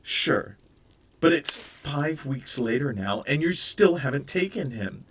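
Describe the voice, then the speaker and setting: Man voicing a stern exasperated young mother, firm and reproachful, slow, a touch distant. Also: mid-range voice, animated, fairly bright tone, husky, pitched above the performer's own voice, exaggerated voice. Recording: voice a bit far from the mic.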